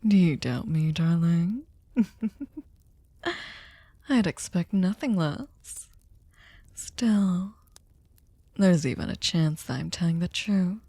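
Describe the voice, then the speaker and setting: Slow, low-voiced, sadistic vampire woman played by an actress. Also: dark tone, no room sound, exaggerated voice. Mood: coaxing, possessive. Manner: slow, theatrical, taunting, often dropping into breathy whispers. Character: sadistic, possessive, manipulative centuries-old female vampire lord. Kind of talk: intimate ASMR roleplay monologue.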